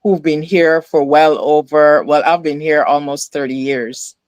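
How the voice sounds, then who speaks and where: A woman's voice: deep voice, steady tone, a little slow, darkish tone, dry recording. A middle-aged woman from Barbados, giving thoughtful, slightly hesitant conversational answers on a video call. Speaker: diaspora guest. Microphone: video call, device close in front.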